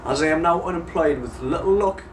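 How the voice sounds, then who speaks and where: A man's voice: fairly high pitch, brisk. A man, speaking earnestly in an interview. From North East England. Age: 20s. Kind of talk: casual interview answers. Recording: echoey room, distant mic, clear noise.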